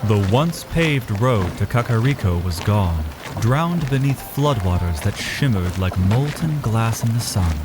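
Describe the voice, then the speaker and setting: A man's voice: lowish voice, animated, a little slow, hardly any pauses. A man giving dramatic, scripted narration for a fantasy audio drama as its storyteller. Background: music.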